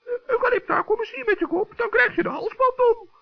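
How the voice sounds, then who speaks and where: A man's voice: extremely high pitch, a little fast, gravelly, voice pushed up. A man voicing a small, cheerful, chatty woodland gnome who teases kindly in a children's radio play. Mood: pleased, playful. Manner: chatty, playfully teasing. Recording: dull old 1960s radio recording.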